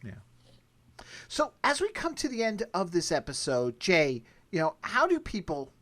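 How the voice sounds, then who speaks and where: A man's voice: sits high, wildly swooping pitch, normal speed, fairly bright tone. A man hosting a podcast in a chatty, casual, self-deprecating way. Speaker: podcast host.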